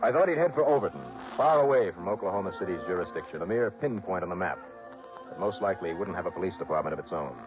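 An actor playing a dry, wisecracking insurance investigator who also narrates in an old radio drama. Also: male voice, sits low, expressive, quick pace, over music. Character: wry, tough male insurance investigator. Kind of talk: 1940s radio acting. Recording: dull old radio broadcast.

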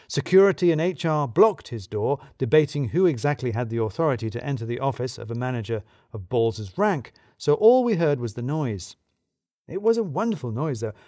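A man narrating a scripted first-person story in a wry, expressive manner. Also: medium pitch, no room sound, clean studio sound.

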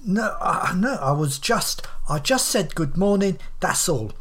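A woman's voice: fairly low pitch, lively intonation, a little slow, slight background noise, voice pushed up. A woman voicing a flustered, awkward person in their thirties, stammering and defensive, in audiobook dialogue. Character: flustered, sarcastic thirty-something Southern English misfit narrator.

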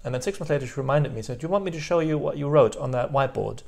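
A man in his forties from Belgium talks about his life as a podcast guest. His voice has a gravelly, creaky edge, and he speaks thoughtfully, often restarting and repeating words as he works through his memories. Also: mid-range voice, rapid-fire, a little mellow. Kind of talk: reflective interview chat.

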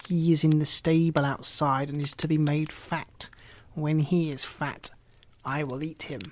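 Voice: mid-range voice, flat delivery, unhurried, a little hiss or hum, close to an everyday voice. A reader voicing a wicked, cunning old witch who eats children, in a storybook reading, sly and mocking. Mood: commanding.

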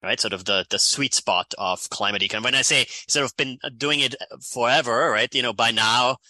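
A man's voice: medium pitch, moderate pace, no room sound. A man in his forties from Austria with an Austrian accent, a climate economist talking animatedly in an interview and often tagging sentences with "right?". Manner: animated, many "right?" tags. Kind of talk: conversational interview.

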